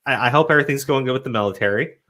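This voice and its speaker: A man's voice: medium pitch, very fast, bright, forceful tone. A young man hosting a casual live stream, with a cold and a cough, rambling with many restarts. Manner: rambling, stumbling restarts, laid-back. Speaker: host.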